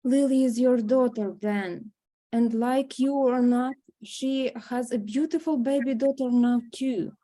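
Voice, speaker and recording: An actress reading a caring, protective middle-aged mother who speaks gently and slowly. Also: on the high side. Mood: firm.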